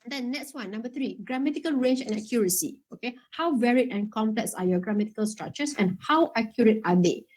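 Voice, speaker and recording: A woman's voice: on the high side, expressive, fairly quick, few pauses, darkish tone. A woman teaching an exam workshop in a chatty way, checking in often with "okay?" and "right?". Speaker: IELTS workshop instructor.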